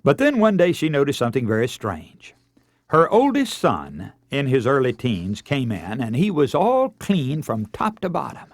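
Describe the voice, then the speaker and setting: An American man preaching a radio sermon in an earnest, emphatic way, leaning deliberately on key words and telling illustrations. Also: medium pitch, huge pitch swings, no room sound, clean studio sound.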